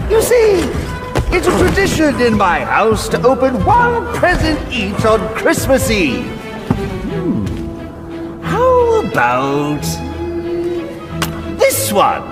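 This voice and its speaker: A man's voice: extremely high pitch, wildly swooping pitch, extremely slow, pauses often, loud music behind the voice, full character voice. A man voicing a manic, menacing crime boss, theatrical and mocking, moving between sing-song glee and snarls. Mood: mocking, gleeful. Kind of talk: animated villain acting.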